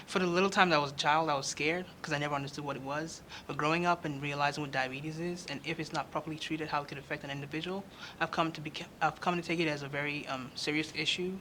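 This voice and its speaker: Bright-voiced young man, earnest and halting. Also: on the high side, steady tone, a little hiss or hum, low-quality recording. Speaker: nursing student. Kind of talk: on-camera interview. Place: state house conference.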